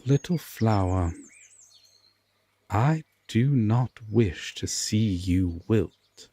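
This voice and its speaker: A man playing an aloof, stern yet secretly tender Fae king in a roleplay audio drama, speaking formally and deliberately with long pauses. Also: fairly low pitch, lively intonation, dark tone, breathy edge, dry recording, heavily acted. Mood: gentle, resigned.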